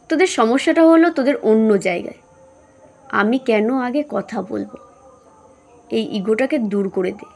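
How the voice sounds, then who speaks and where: A woman voicing a patient middle-aged mother in an audio story, soothing and gently chiding. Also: a little high. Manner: soothing, chiding, homespun advice.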